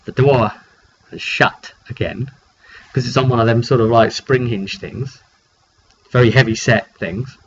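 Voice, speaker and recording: A man's voice: medium pitch, steady tone, unhurried, pauses often. Dull, lo-fi male game master storytelling. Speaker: game master recounting a session. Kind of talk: casual storytelling of a tabletop roleplay session. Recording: dull lo-fi home recording, faint background noise.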